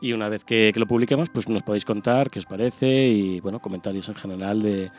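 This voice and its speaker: A man's voice: lowish voice, a little fast, darkish tone, soft background music. A man with an accent from Spain co-hosting a casual radio show in a hesitant, rambling way. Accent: Spanish (Spain).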